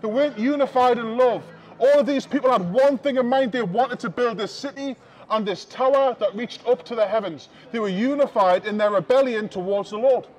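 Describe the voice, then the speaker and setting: High-voiced man preaching on the street. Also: a little fast, rough recording. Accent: Northern English. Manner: preaching loudly to passers-by, calling out to the crowd. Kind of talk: open-air street sermon. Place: busy outdoor shopping street.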